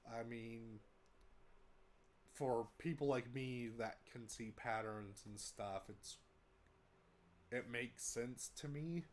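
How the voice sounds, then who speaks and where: A man's voice: mid-range voice, slow pace, pauses often, a little hiss or hum. Young American man, head cold, stuffy voice; casual vlog update, meandering with fillers. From United States. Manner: rambling, many fillers and restarts. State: congested from a cold. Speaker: vlogger. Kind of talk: casual update talk to camera.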